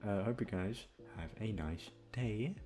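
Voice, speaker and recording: A man's voice: fairly low pitch, unhurried, dark tone. A man rambling casually in a scattered, self-deprecating way, swearing freely as he chats to listeners. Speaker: audio roleplay creator. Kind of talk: casual rambling outro chat. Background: background music.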